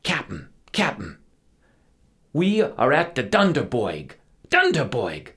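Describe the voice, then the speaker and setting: A man's voice: medium pitch, huge pitch swings, slow pace, a bit bright, voice pushed up, full character voice. A man voicing a small, superstitious sailor in a storytelling, pleading urgently in quaint old-fashioned speech. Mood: urgent warning. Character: frantic superstitious little Dutch sailor, pleading.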